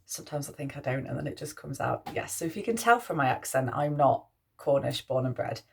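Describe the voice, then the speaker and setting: A young woman with a Mancunian accent, a priestess talking softly through her spiritual values with frequent restarts. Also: a bass voice, expressive, a little fast, gravelly, a little hiss or hum. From Manchester, England. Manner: musing, soft-spoken, with frequent restarts. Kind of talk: spoken reflection on spiritual values.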